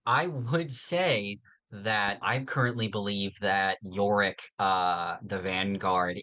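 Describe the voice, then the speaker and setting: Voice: deep voice, bright, forceful tone. A podcast host talking casually through fan theories in an earnest, nerdy, somewhat hesitant way.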